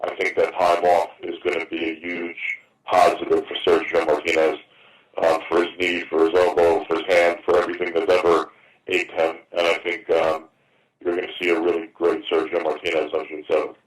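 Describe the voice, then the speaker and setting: Deep-voiced man in his fifties, halting. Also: completely flat delivery. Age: 50s. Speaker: boxing promoter taking reporters' questions. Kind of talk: conference call interview answers. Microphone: phone on a conference call. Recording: roomy, distant, noisy teleconference phone line.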